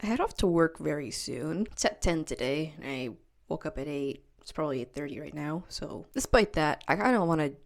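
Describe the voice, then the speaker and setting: A young woman chatting casually to her camera at home, talking quickly and stumbling over her words. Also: low-pitched, animated, creaky. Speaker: vlogger. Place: at home, indoors.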